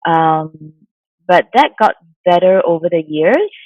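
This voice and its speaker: Mindfulness coach from Singapore, a woman in her forties, soft-spoken and reflective, muffled dry recording. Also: on the low side, animated, slow pace, dry recording. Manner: soft-spoken, thoughtful, many fillers. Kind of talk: interview answers. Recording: dull lo-fi sound, dry.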